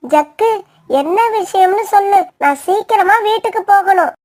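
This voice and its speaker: A woman's voice: very high-pitched, moderate pace, a little mellow. A woman voicing a whiny, pleading young girl sparrow in a children's cartoon. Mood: hurried.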